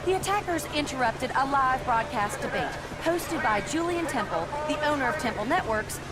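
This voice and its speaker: High, animated TV news reporter. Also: female voice, normal speed, loud music behind the voice, poor audio. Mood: urgent, formal. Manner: polished, formal newscaster delivery. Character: brisk, self-important female TV news reporter. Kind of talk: scripted news report in video game cutscene.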